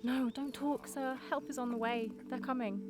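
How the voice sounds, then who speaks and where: A woman's voice: high-pitched, steady tone, moderate pace, natural voice. A woman voicing a frightened, guilt-ridden young girl with a Northern Irish accent in an audio drama. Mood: anxious reassurance. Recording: loud music over the voice.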